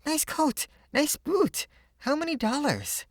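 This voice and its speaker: A reader putting on a shrill little girl. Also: very animated, slow, no room sound, studio quality, heavily acted. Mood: eager. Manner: broken English, shrill and eager. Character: small eager little girl from Chinatown. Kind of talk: storybook read-aloud.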